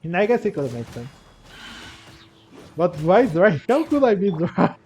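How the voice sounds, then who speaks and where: Higher-voiced man thinking aloud while gaming. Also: sing-song, a little mellow, a little hiss or hum. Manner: rambling, halting, thinking aloud. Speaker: gaming commentator. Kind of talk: casual let's-play commentary. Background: video game music.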